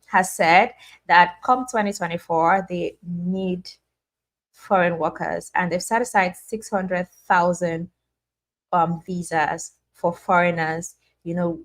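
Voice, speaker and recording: A young woman hosting a casual live stream, chatting and reading out viewers' names with many restarts and fillers. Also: on the low side. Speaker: livestream host.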